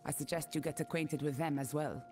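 A woman's voice: sits low, steady tone, brisk, mellow, soft background music, lightly acted. An actress playing a cold, proud, commanding female mercenary leader in a video game, stern and imperious. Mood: commanding, measured. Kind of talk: video game character dialogue.

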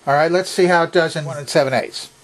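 A man's voice: a little high, fast, very noisy. An older man narrating a hands-on repair demonstration in a casual, unscripted, methodical step-by-step way. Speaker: presenter of a vintage electronics repair video. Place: indoor workbench.

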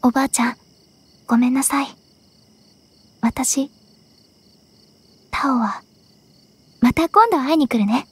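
Earnest, high-voiced young agent. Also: female voice, husky, dry recording, full character voice. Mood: apologetic. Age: young adult. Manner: serious, measured, introspective. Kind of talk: Japanese anime game voice acting.

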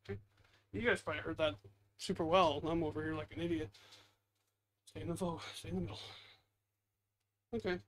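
A man's voice: fairly high pitch, fairly even intonation, brisk, long pauses. A man giving casual, rambling, self-deprecating gameplay commentary as the host, muttering into a headset mic at home. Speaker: gaming video host.

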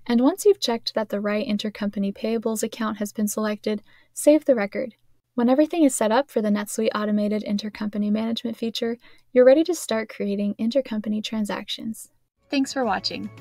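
A woman's voice: on the high side, a little mellow, no room sound. A woman reading a scripted explainer as a tutorial narrator, instructional and precisely enunciated, in a close voice-over recording.

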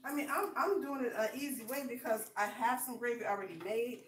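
A woman's voice: on the high side, a little fast, fairly bright tone. A woman cooking at her home stove, making short practical remarks while filmed from a few steps away. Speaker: home cook. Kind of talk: casual cooking stream. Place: home kitchen. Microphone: phone camera a few steps away. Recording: echoey kitchen with clattering noise.

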